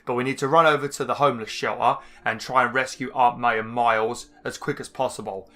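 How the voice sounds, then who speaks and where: A man hosting a let's-play, slightly hoarse and chatty as he rambles through game menus. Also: medium pitch, fairly even intonation, brisk, fairly bright tone. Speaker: gaming channel host. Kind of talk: casual let's-play commentary.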